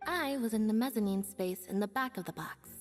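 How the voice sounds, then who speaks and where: A woman voicing a reserved young female magician's assistant in a video game, calm and matter-of-fact. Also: mid-range voice, fairly quick, lightly acted. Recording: reverberant hall with music behind.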